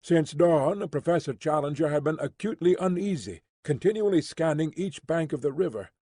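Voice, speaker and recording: A man narrating an audiobook in a measured, even storytelling manner, close to the mic. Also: a little high, husky, no room sound. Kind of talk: scripted narration.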